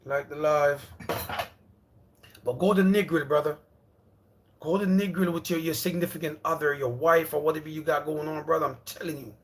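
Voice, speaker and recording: A man's voice: fairly high pitch, steady tone, normal speed, pauses often. A man from Jamaica, in his thirties, rambling casually at home as he hosts a livestream and calls his viewers brother. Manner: loose, rambling, repeats himself, calls out to viewers as brother and bro. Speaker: livestream host.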